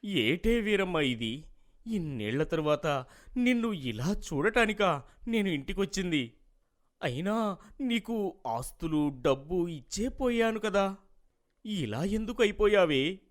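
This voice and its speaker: An actor playing a remorseful old sage and grandfather in a moral tale, speaking with grave, drawn-out emotion. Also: high-pitched, very animated. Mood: shocked dismay.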